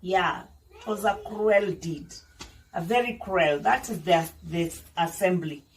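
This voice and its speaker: A woman's voice: lowish voice, takes it slowly, slight background noise. A woman reading a children's story aloud as a teacher, explaining and repeating points in a didactic way for young listeners. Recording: distant microphone, music behind the voice. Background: music.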